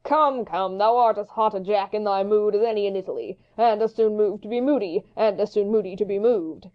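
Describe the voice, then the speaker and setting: Hot-headed young wit played by a woman, mid pitch, theatrical mockery, classical stage verse, slightly dull recording. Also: a little fast, lightly acted. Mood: teasing. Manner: taunting, theatrical, verbose. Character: hot-headed, mocking, witty young male swordsman. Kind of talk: Shakespeare audiobook dramatic reading.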